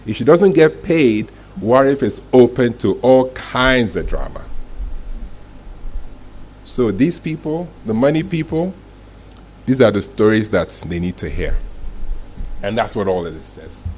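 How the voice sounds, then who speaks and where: Male masterclass speaker, emphatic and deliberate, mid-range voice, live event room with clear noise behind him. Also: medium pitch, expressive, a little mellow, rough recording. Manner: emphatic, deliberate, repeats key phrases for effect. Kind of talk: live motivational presentation to an audience. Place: indoor event room with audience.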